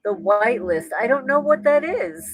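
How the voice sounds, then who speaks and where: A woman's voice: a little high, expressive, a little slow, no echo. Woman with a New Jersey accent, livestream host, chatty and rambling, dry close sound. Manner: chatty, thinks aloud, frequent restarts and laughs. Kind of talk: casual livestream chat reading viewer comments.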